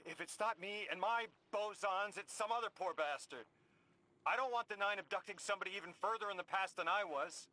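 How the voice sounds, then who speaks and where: A man's voice: high voice, a little fast, pushed and bright, low-quality recording. An actor playing an earnest, resolute young man displaced in time. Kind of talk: video game voice acting.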